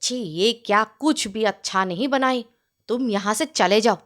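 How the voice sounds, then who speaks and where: A woman's voice: mid-range voice, unhurried, a bit bright, voice pushed down, lightly acted. Woman playing a bossy food-obsessed landlord, voice dropped. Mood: disgusted. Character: demanding, food-obsessed male village landlord, quick to anger. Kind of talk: storybook character dialogue.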